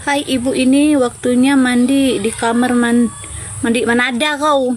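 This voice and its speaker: A woman's voice: high voice, steady tone, a little slow, a little hiss or hum. A woman chatting casually and informally with viewers as the host of a live stream. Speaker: livestream host.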